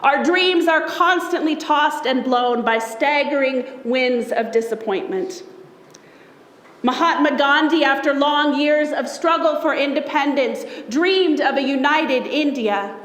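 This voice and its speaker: A woman pastor reading a sermon aloud from a text in a measured, solemn, deliberate way. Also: high voice, fairly bright tone. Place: church sanctuary during a service. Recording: echoey church hall with long reverb.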